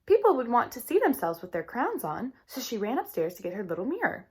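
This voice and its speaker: Animated, higher-voiced woman reading to children. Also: fast, slightly rough. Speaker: storytime reader. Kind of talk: expressive children's read-aloud.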